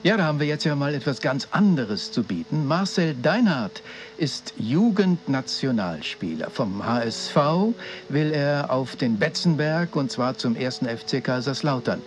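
An actor playing a chummy radio sports reporter making eager, halting small talk in a mock interview. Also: male voice, mid-range voice, big pitch swings, soft background music, close to an everyday voice.

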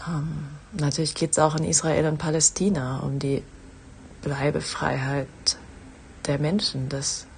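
A woman philosopher leaving a reflective audio message, thoughtful and halting as she searches for words. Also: low-pitched, little pitch movement, vocal fry, slight background noise. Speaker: philosopher, podcast guest.

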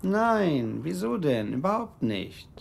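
An actor playing an irritable, brusque gun dealer in a German radio play. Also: male voice, fairly high pitch, very animated, takes it slowly, gravelly, heavily acted. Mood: irritated.

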